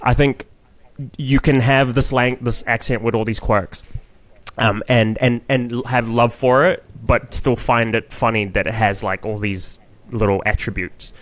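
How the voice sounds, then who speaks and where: A man with a New Zealand accent talks on a podcast as a guest comedian. His voice sits at an ordinary middle pitch. He speaks frankly, swears freely, and trails into restarts as he rambles through his point. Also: fairly even intonation, a little slow, no echo. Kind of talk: casual podcast chat.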